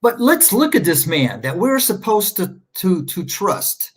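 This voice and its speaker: A man's voice: sits high, big pitch swings, a little slow, husky. A man rambling through casual livestream commentary, sarcastic and scornful, stopping often to search his screen. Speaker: online commentator.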